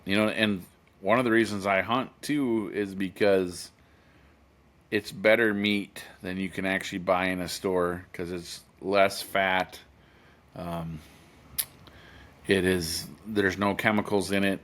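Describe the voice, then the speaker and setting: A man's voice: low-pitched, steady tone, takes it slowly, a bit bright. A man of fifty in casual podcast chat, rambling with many fillers and restarts, earnest and matter-of-fact. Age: 50s. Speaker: podcast co-host.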